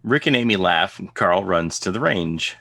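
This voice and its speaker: A man's voice: deep voice, animated, moderate pace, gravelly, pushed and bright, no echo, clean studio sound. A man narrating stage directions for an online table read of a comic, in a scripted style. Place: video call. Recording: online video call.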